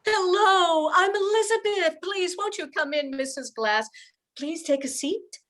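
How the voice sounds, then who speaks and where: A woman's voice: extremely high pitch, a little slow, fairly bright tone. A woman playing an earnest young widowed mother in an amateur radio play, with heartfelt, theatrical delivery. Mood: polite, welcoming.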